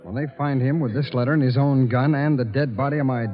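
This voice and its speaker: A man's voice: mid-range voice, fairly even intonation, fast talker, lightly acted. A cold, cunning crook played by a man in an old radio crime drama. He gives orders in tough, slangy talk. The sound is muffled, with faint music behind. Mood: cold, commanding. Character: cold, cunning male lover plotting a murder. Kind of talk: 1940s-50s radio drama acting.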